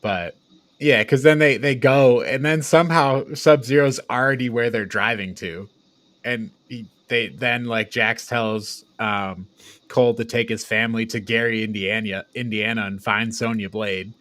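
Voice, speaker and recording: A man's voice: medium pitch, fairly even intonation, unhurried, a bit bright. A man co-hosting a casual film review chat, talking informally with friends. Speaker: podcast co-host.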